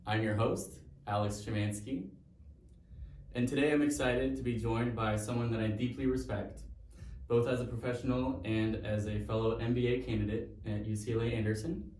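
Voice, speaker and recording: A man's voice: medium pitch, darkish tone. Guy hosting a podcast, formal delivery, faint noise behind, sounds a bit far off. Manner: formal, admiring. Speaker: podcast host. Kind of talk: scripted introduction and interview questions. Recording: echoey room, voice from off mic.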